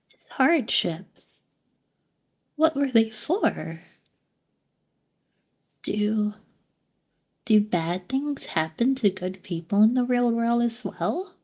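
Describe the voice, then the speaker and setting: A woman voicing a gentle, curious female android in an ASMR roleplay, soft-spoken and formal, with hesitant pauses. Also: medium pitch. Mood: pensive. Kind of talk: ASMR roleplay, scripted monologue.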